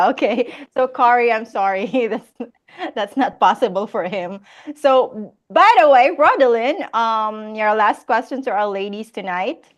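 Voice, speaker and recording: A woman's voice: fairly high pitch, unhurried. A Filipino woman hosting a casual live Q&A, reading out viewer questions and laughing often. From Philippines. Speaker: host of a live Q&A.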